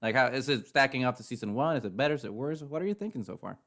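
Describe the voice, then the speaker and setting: Man talking in halting restarts. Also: mid-range voice, expressive, a bit bright. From Chicago area. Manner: halting, many restarts and repeated words. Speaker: podcast co-host. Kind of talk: casual review chat.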